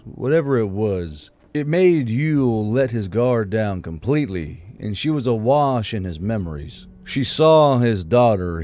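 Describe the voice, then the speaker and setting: A man's voice: fairly low pitch, dark tone. A man narrating a fantasy audiobook from a script.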